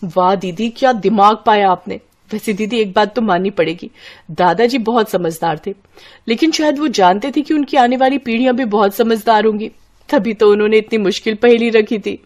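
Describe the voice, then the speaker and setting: A woman's voice: mid-range voice, moderate pace, a little mellow, natural voice. A woman voicing an eager, curious village girl, the younger sister in a scripted story. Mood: admiring.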